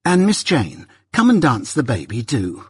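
A brisk female nursemaid, put on by a man reading a storybook, sharp and bossy. The voice is raised well up in pitch, with a very husky grain. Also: huge pitch swings, dry recording, studio quality, exaggerated voice. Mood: brisk coaxing. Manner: sharp, instructing.

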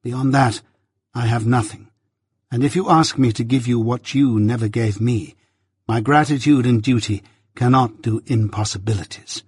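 A male narrator gives voice to a young adopted daughter in a classic novel. The speech is proud and cool, measured and unyielding, recorded dry and close. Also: medium pitch, breathy edge, no room sound. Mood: composed.

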